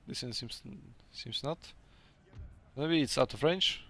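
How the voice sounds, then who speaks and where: A man's voice: medium pitch, measured pace, fairly bright tone, dry recording. A young man with a Polish accent casually commentating his own strategy game match, chatty and laughing often, with hesitant second-language phrasing.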